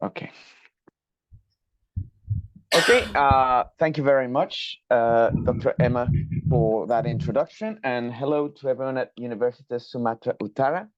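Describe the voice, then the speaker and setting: A man presents a technical lecture over a video call. His mid-range voice is measured and courteous, with small academic hesitations. The audio is dry and slightly dull, like a conferencing line. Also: mid-range voice, no room sound. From Colombia. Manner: measured, polite, academic, with fillers. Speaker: guest lecturer, structural engineer.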